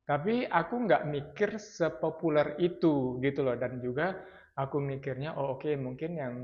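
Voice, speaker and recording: A man's voice: a little high, unhurried. A man from Sumatra in Indonesia, chatting casually and thoughtfully about his travels, slipping in English phrases. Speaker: traveller sharing impressions. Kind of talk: casual chat. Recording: dull lo-fi sound.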